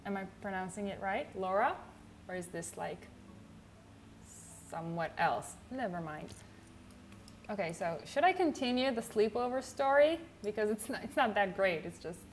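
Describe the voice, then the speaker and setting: A young woman from Israel with an Israeli accent, chatting casually on a livestream in a chill, low-energy way with lots of fillers. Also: animated, unhurried, slight background noise. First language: not English. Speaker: streamer.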